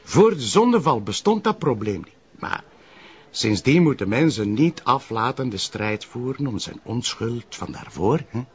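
Flemish policeman: husky medium-pitched voice, earnest explainer, naturalistic TV drama, slightly dull sound. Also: big pitch swings, a little slow, full character voice. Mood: earnest, explanatory. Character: earnest, talkative male police colleague explaining things. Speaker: actor. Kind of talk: naturalistic TV crime drama dialogue.

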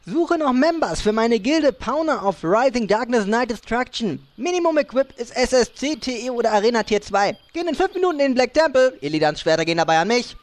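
A man's voice: extremely high pitch, very animated, brisk, hardly any pauses. A man playing a pushy, self-important young gamer rattling off a recruitment spiel in a parody audio drama. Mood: pushy, hectic.

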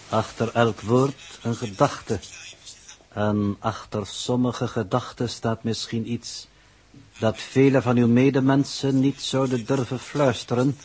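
Condescending man of science, 1960s radio drama, slow precise delivery, slightly husky. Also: medium pitch, a little mellow. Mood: measured, knowing. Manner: formal, precise, pedantic. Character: coolly superior male scientist, secretive and condescending. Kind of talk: 1960s Dutch radio play acting.

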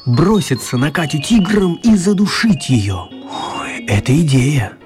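A man narrating a children's radio play as an expressive, wry storyteller. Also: fairly high pitch, unhurried. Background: music.

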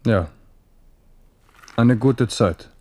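An actor playing an ageing amorous French king in a German radio play, wry and wistful, with a measured, musing way of talking. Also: mid-range voice, darkish tone. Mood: genial.

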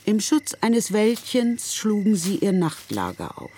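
A narrator reading literary prose for a radio play in a measured storytelling manner. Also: medium pitch, wildly swooping pitch, mellow, a little hiss or hum.

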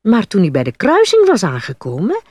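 A woman narrating a scripted fairy tale for children in an expressive, dramatic read-aloud style with a slightly husky voice. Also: medium pitch, fairly quick, no echo. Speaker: storyteller.

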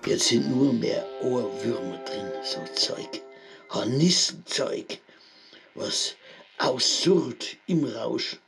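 A paranoid rustic Bavarian rambler, animated. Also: male voice, medium pitch, takes it slowly, vocal fry, faint music behind, full character voice. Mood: suspicious, agitated. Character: paranoid rambling rustic man obsessed with radio noise. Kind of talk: radio play monologue.

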